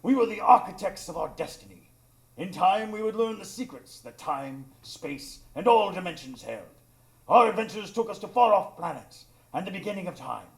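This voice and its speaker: Male actor as loyal doctor-narrator, radio play: creaky, highish, earnest dramatic storytelling. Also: expressive, normal speed, low-quality recording. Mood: reflective, grand.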